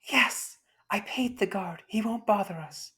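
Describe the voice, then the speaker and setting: Casting: lovestruck young woman played by a woman reader, very high, romantic, insistent, storybook romance. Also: a little slow, a bit bright, natural voice. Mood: insistent, pleading. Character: devoted young woman in love, stubbornly pleading.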